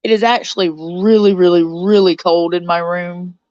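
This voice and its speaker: A woman's voice: on the low side, steady tone, normal speed, darkish tone, muffled recording. A woman in her forties hosting a casual live stream from a cold bedroom, chatty and a little stuffed up from her sinuses, reading out viewer comments. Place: cold bedroom under a blanket.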